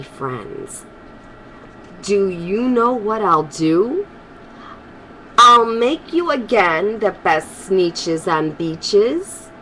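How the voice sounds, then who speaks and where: A woman's voice: mid-range voice, a bit bright, slight background noise, full character voice, low-quality recording. A woman voicing a sly male storybook swindler with coaxing sales patter.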